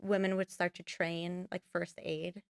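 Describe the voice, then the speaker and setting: A woman's voice: lowish voice, level tone, a little slow, vocal fry, clean tone, no echo, studio quality. A young woman, a filmmaker guest, talking casually in a podcast interview and rambling with many fillers. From United States. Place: podcast studio.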